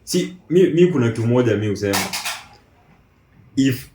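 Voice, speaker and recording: A man's voice: medium pitch, animated, normal speed, noisy background. A young man in his twenties with a Kenyan accent, debating casually and assertively as he mixes Swahili slang with English. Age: 20s. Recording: echoey room.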